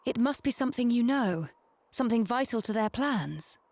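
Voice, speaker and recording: Poised, high-voiced young noblewoman. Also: lively intonation, normal speed, a little mellow, dry recording. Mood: thoughtful. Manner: formal, precise. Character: poised, refined young noblewoman, observant and polite. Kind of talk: video game cutscene acting. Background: background music.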